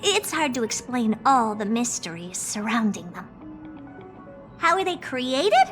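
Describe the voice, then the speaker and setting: A woman's voice: a little high, big pitch swings, a little slow, fairly bright tone. A woman voicing a proud, eccentric, youthful female genius scientist in a video game, self-assured and theatrical, delivering scripted monologues. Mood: intrigued, awed. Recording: music behind the voice. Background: music.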